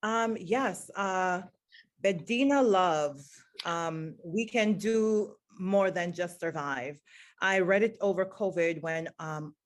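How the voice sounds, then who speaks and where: A woman's voice: on the low side, slow pace. A woman who grew up in Jamaica, a teacher, answering interview questions in an earnest, reflective way with many fillers. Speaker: guest, teacher.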